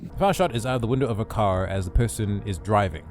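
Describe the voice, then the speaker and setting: A man's voice: fairly low pitch, lively intonation, fast, noisy background. A man co-hosting a video essay, reading scripted commentary. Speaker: video co-host.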